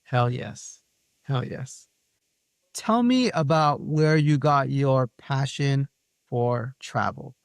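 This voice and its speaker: A man's voice: medium pitch, slow pace, clear, resonant tone, dry recording. A man hosting an interview show, chatting casually and conversationally with his guest. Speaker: podcast host.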